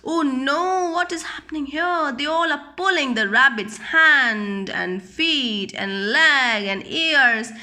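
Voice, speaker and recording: Female storyteller for a kids' read-aloud, high voice, unhurried, teacherly, asks simple questions and repeats them. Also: pushed and bright. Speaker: children's storyteller.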